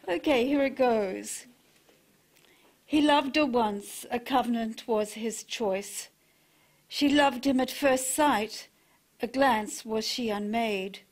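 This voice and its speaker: A woman reciting her own poetry, with a personal introduction. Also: a little high. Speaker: poet reading own work.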